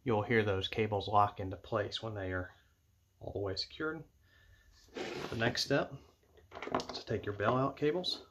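A man giving measured, matter-of-fact step-by-step instructions as he demonstrates an equipment setup indoors at a table. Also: fairly low pitch, a bit bright. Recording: clear background noise with clicks.

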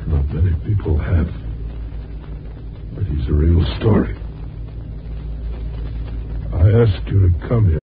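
An actor playing a dying, world-weary newspaperman in a 1950s radio drama, speaking haltingly and looking back on his life. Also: deep voice. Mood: weary, fading. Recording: muffled, noisy old radio recording.

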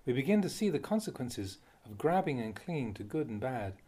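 A man reading a Buddhist Dhamma talk aloud as a monk, deliberately, in short phrases with pauses. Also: medium pitch, big pitch swings, warm tone, breathy edge. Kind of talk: read-aloud Dhamma talk, measured teaching.

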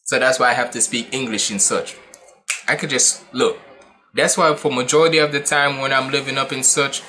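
Bright-voiced young Jamaican man, casually rambling. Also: medium pitch, fairly even intonation, a little fast, faint music behind. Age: 20s. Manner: rambling, repetitive, slangy. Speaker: reaction video host. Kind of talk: casual commentary. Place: small indoor room at home.

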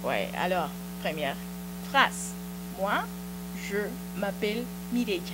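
A middle-aged Mexican woman with a Mexican Spanish accent teaches French in a TV studio, repeating phrases slowly and patiently into a handheld mic. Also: medium pitch, very animated. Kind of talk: slow drilled language lesson. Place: small TV studio set. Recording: studio recording with audible mains hum. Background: mains hum.

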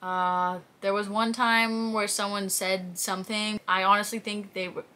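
Female, 20s. Bright mid-pitched voice, casual storytelling vlog delivery, acts out quotes. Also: little pitch movement, a little slow. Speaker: fitness content creator. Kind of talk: casual chat, answering viewer questions to camera. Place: small plain indoor room, seated.

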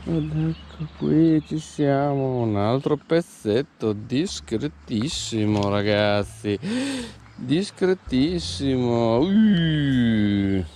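A man talking to his viewers while fishing outdoors by a pond, breaking into excited exclamations, recorded on a head-mounted camera. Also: mid-range voice, extremely slow, a little hiss or hum. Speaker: fishing vlogger. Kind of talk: casual commentary while fishing.

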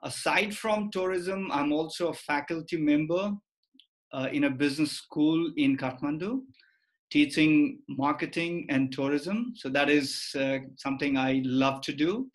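Male guest expert, forties, Nepali accent, measured explanatory delivery over a video call. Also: fairly high pitch, rough recording. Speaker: guest, tour company director and lecturer. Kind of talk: explanatory interview talk. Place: office room, video call.

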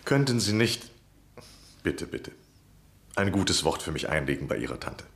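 A courteous gentleman of a radio play, with a low voice. He is eager and effusive, flattering and persuading with polished politeness. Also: normal speed, vocal fry, exaggerated voice. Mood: polite, pleading. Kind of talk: radio drama acting.

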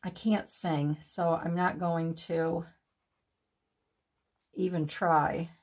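A slow-talking woman in her sixties with a fairly low, breathy voice, sniffling a little. She chats at her craft table at home, laughing and talking to herself as she works. The sound is picked up by an overhead camera on the desk. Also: fairly even intonation. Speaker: craft channel host. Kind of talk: casual chat while making a collage. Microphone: overhead camera on the desk, hands only in frame.

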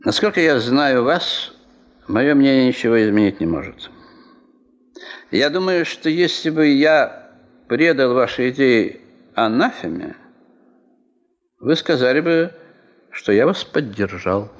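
Male actor as ailing older man, ironic, mid-pitched voice, 1970s radio play, dull recording. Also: animated, measured pace, pauses often, natural voice. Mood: dry irony. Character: ailing, wry old predecessor, a respected man.

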